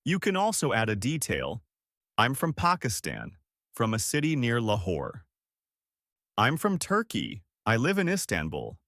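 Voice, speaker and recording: Male podcast teacher, mid-pitched, clear and upbeat. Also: huge pitch swings, unhurried, fairly bright tone, no room sound, clean studio sound. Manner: clear, upbeat explaining with example phrases. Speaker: podcast co-host and English teacher. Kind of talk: scripted English lesson dialogue.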